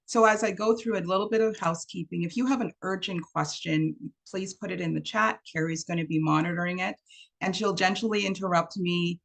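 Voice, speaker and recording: A woman in her fifties, originally from Trinidad, leads an online workshop. Her voice sits a little low with a gravelly edge. She tells stories and acts out what people said, heard through a video call. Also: fairly even intonation, fairly quick. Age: 50s. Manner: warm storytelling, acts out quotes. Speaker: workshop speaker.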